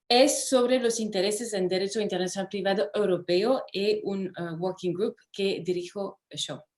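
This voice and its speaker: A woman from France with a French accent, a law professor giving an online lecture in a careful way, pausing often with "eh". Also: mid-range voice. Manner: careful, with frequent "eh" pauses. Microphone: computer mic over video call.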